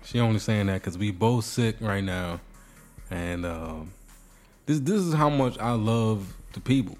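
A man's voice: lowish voice, expressive, measured pace, darkish tone, soft background music. A man with a cold and a nasal voice hosting a casual podcast, joking and bantering. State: sick, nasal. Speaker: podcast host. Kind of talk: casual chat.

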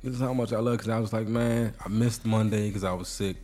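A man's voice: fairly low pitch, steady tone, fast talker, mellow. A man with a cold and a nasal voice hosting a casual podcast, joking and bantering. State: sick, nasal. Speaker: podcast host. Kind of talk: casual chat.

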